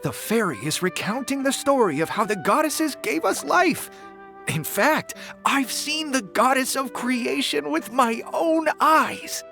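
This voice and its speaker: A man's voice: very high voice, expressive, unhurried, gravelly, pushed and bright, faint music behind, exaggerated voice. A man voicing a bumbling, boastful paper forest creature in a video game, theatrical and self-important. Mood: indignant. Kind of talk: animated video game voice acting.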